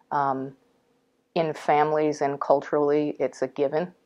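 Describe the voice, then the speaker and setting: Deep, flat-voiced composed woman. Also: lo-fi sound. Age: middle-aged. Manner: composed, deliberate. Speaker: interviewee. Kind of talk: formal interview. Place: office with bookshelves.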